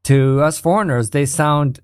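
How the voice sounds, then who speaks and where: A man from Canada in casual conversation, telling stories in an animated way and laughing easily. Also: fairly high pitch, measured pace, clean tone, dry recording, studio quality.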